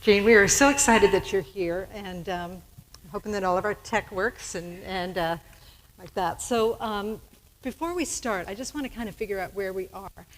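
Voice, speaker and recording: A woman's voice: medium pitch, normal speed, noisy background. Woman minister hosting a church service, chatty and easygoing, mid-range voice, long hall reverb. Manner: chatty, laughing easily. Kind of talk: casual on-stage interview. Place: church sanctuary with balcony. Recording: hall reverb from a large sanctuary.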